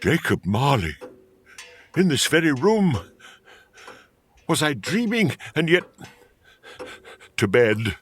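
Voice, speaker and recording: An actor playing a skeptical, fearful old miser in a theatrical radio play, fussy and prone to stammering. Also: male voice, on the high side, sing-song, slow, long pauses, background noise. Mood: uneasy muttering. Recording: music under the voice.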